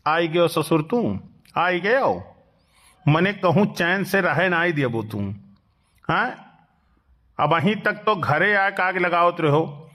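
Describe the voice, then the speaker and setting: A man's voice: high-pitched, lively intonation, slow, pauses often, sharp tone, exaggerated voice. A man with an Awadhi accent voicing a put-upon, grumbling village husband in a comic cartoon, drawling and exclaiming in rural dialect. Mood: irritated.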